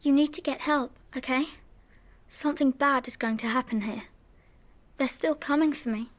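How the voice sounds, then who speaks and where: A woman's voice: sits high, moderate pace. An actress playing a haunted young girl in a game, earnest and pleading. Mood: frightened pleading.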